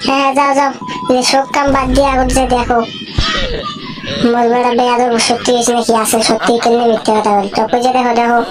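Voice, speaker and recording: A woman plays a combative cartoon villager. Defiant and hot-tempered, the character taunts and challenges over very loud music. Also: on the high side, level tone, fast, close to an everyday voice, low-quality recording. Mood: threatening. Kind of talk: comic cartoon dialogue in rural dialect.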